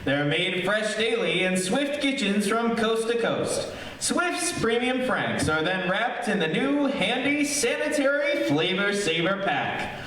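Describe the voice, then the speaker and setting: A man playing an old-time radio announcer, reading scripted introductions and sponsor pitches. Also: animated, measured pace, fairly bright tone. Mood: earnest, selling. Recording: echoey distant recording, music behind.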